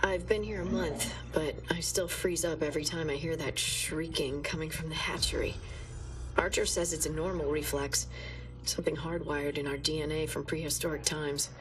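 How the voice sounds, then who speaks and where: An actress playing an isolated female scientist recording hushed, reflective audio logs in a game. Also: deep voice, steady tone, normal speed, distant mic, music in the background, close to an everyday voice. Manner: hushed, reflective journal narration. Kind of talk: game audio log narration.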